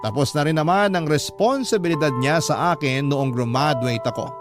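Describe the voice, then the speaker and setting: A man's voice: fairly high pitch, moderate pace. A man reading scripted first-person narration for a sentimental radio drama. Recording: clear music bed behind the voice. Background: music.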